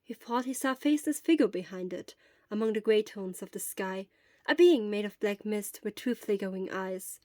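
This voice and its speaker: A woman's voice: mid-range voice, lively intonation, moderate pace, mellow, dry recording, studio quality. A woman narrating scripted fiction as the reader of an audio story.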